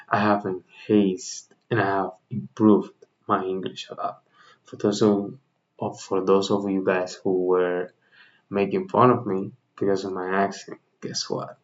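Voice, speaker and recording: A man's voice: on the low side, lo-fi sound. A man from the Dominican Republic with a Dominican Spanish accent and Spanish as his first language, hosting a casual trading explainer, chatty and often correcting himself as he talks to viewers. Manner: chatty, self-correcting, addresses viewers as guys. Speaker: trading channel host.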